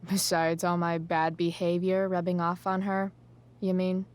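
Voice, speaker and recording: Slow, sardonic American teenage girl played by a woman. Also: lowish voice, monotone, lightly acted. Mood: defiant, sarcastic. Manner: dry, sarcastic, slangy. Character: sardonic, rebellious teenage girl, guarded and cheeky. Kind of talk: naturalistic video game acting.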